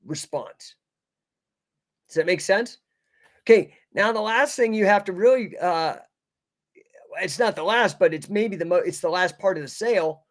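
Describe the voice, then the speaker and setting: A man with a slightly high voice gives informal live coaching online. He talks in punchy, emphatic phrases, addresses listeners like buddies in casual slang, and keeps checking that they follow.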